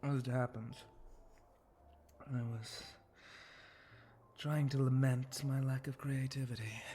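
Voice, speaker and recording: A man playing a suave, teasing spider monster bartender in an intimate ASMR roleplay, breathy and often whispering close to the microphone. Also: medium pitch, a little hiss or hum. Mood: wistful. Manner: slow, seductive, teasing drawl with soft chuckles.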